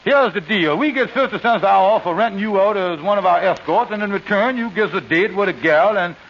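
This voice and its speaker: An actor playing a scheming, smooth-talking con man hatching money plans, on a vintage radio comedy. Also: high voice. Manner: fast-talking, wheedling, hesitant uhs. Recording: muffled old radio broadcast, faint hiss.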